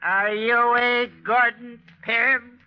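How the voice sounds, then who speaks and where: Shrill, crazed old sea captain. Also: male voice, level tone, extremely slow, clear, resonant tone, full character voice. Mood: vengeful, demanding. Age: older. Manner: ranting, insistent, repeating his demands. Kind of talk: 1940s radio drama acting. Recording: dull, muffled 1940s radio recording.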